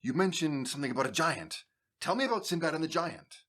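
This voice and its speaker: Man as a high, impatient, demanding listener. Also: sing-song, fast talker, a bit bright. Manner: insistent, petulant. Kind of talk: audio drama acting.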